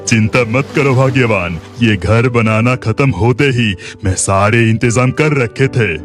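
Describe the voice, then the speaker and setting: A man's voice: mid-range voice, measured pace, soft background music, lightly acted. A man playing a resourceful, caring village husband in a children's cartoon story. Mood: reassuring.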